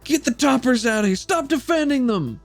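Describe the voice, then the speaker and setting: A game master putting on a hostile, derisive shouter from an angry mob in a tabletop game. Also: high-pitched, animated, fairly quick, faint music behind, pitched above the performer's own voice.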